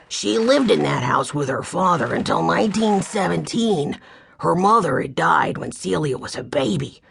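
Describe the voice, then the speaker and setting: A gruff, suspicious old man, put on by a woman reading a storybook. He speaks slowly and firmly, with a gravelly, husky edge to the voice. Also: fairly low pitch, heavily acted. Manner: firm, blunt, ominous.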